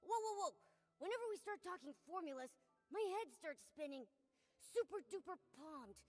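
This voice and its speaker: Tiny cute game sidekick critter, high childlike voice, excitable, bit of music. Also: female voice, normal speed, big-room sound. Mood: excited, puzzled. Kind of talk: game voice acting.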